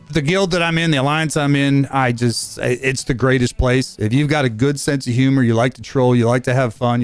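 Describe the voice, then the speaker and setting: A man in his fifties giving a rambling, casual update on his gaming channel, talking fast with frequent fillers and restarts. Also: medium pitch, clear, resonant tone, no room sound. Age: 50s.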